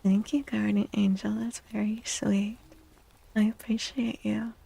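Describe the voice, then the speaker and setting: A woman speaking softly and breathily in a gentle, unhurried half-whisper, chatting as an ASMR livestream host. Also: mid-range voice, little pitch movement, vocal fry, breathy edge, poor audio. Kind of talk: soft-spoken ASMR livestream chat. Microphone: close microphone, dry.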